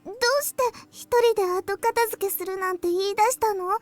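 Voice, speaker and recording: Timid visual novel schoolgirl, super high n hesitant, game music behind. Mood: shy, hesitant. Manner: hesitant, halting. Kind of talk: Japanese visual novel voice acting.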